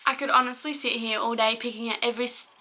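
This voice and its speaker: A woman's voice: a little high, steady tone, fast, pushed and bright. Breathless, heated young woman vlogger. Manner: heated, rambling, talks straight to viewers. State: hoarse, losing her voice. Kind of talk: angry opinion rant to camera.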